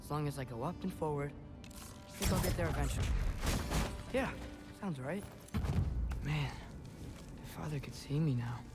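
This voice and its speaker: Teen boy hero in a video game, high voice, earnest n stubborn, loud music under it. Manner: earnest, stubborn, self-justifying. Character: earnest, headstrong teenage boy adventurer. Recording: music behind the voice.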